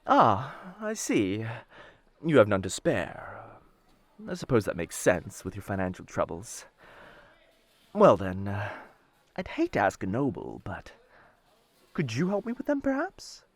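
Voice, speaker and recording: A man's voice: on the low side, wildly swooping pitch, measured pace, long pauses, no echo, heavily acted. A man voicing a polite, ingratiating merchant in an ASMR roleplay, courteous and deferential. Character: polite, ingratiating male merchant, an assassin's disguise.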